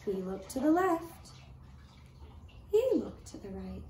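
A woman narrating a scripted children's story in a deliberate way, with dramatic pauses. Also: mid-range voice, sing-song, warm tone. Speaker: puppet show storyteller. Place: indoor room with draped puppet stage. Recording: roomy echo, faint background noise.

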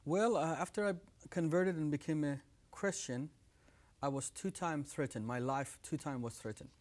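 A man's voice: fairly high pitch, normal speed. Iranian-accented man giving testimony. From Iran. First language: Farsi. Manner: earnest, gestures with hands while talking. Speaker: guest. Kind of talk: testimony in a studio interview. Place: TV studio set with living room decor. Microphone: lapel.

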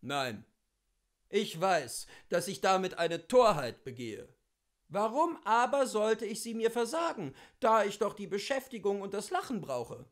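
A man giving an expressive, theatrical reading of a literary memoir, with deliberate phrasing and emphatic pauses. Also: high-pitched. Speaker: reader of literature.

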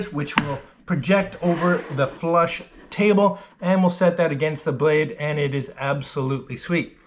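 A man's voice: on the high side, background noise. A middle-aged man working as a shipwright, thinking aloud as he methodically explains a woodworking job in his shop. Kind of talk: workshop how-to narration. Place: wooden-walled boat shop, indoors.

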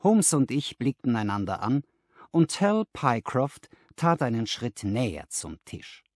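A man's voice: medium pitch, sing-song, unhurried, a little mellow, no room sound, clean studio sound. A man reading a story aloud as scripted literary narration. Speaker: audiobook narrator.

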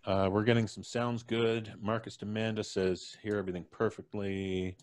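A man's voice: on the low side, level tone, moderate pace. A man in his fifties hosting a live stream, introducing guests in a genial showman's manner. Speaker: host. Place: indoor room, on a video call. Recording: soft music playing behind. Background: background music.

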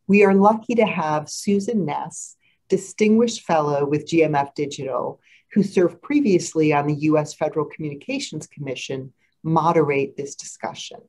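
An American woman gives formal introductory remarks over a video call. Her voice is notably low, and her delivery is poised and scripted. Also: moderate pace, a little mellow. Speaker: introductory speaker.

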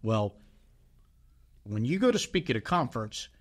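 A man's voice: medium pitch, sing-song, normal speed. A middle-aged man, a pastor co-hosting a podcast, telling a story in casual chat with emphatic, exasperated asides.

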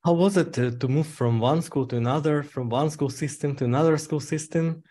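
A man hosting a podcast, asking curious, measured interview questions. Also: a little high, dark tone. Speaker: podcast host.

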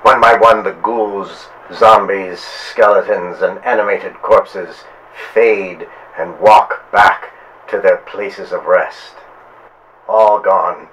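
A man hosting and narrating a pulp horror radio tale in a melodramatic, drawn-out manner. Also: low-pitched, steady tone, fairly bright tone, a little hiss or hum, rough recording. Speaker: host and narrator.

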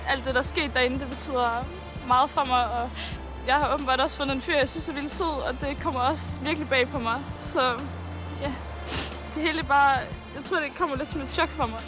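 A woman's voice: high voice, steady tone. A young woman on a reality show, speaking hesitantly in a confessional interview on a beach. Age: young adult. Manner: hesitant, with fillers. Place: outdoors on a tropical beach. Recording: reality TV with music underneath.

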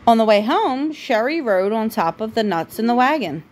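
A woman reading a picture book aloud to a child at home, breaking off for informal, gently correcting asides. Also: on the high side, very animated, moderate pace. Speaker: parent reading a bedtime story to a child.

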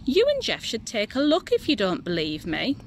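Woman as a playful, animated storybook grandma. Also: fairly high pitch, moderate pace, faint music behind, pitched above the performer's own voice. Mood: playful, coaxing. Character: playful grandmother spinning garden wonders for a child.

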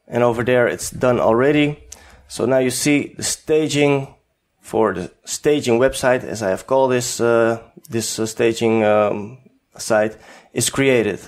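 A man's voice: mid-range voice, unhurried. Dutch-accented man, hesitant tutorial talk. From Netherlands. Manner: hesitant, many filler sounds and restarts. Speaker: tutorial host. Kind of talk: unscripted screen-recorded software tutorial.

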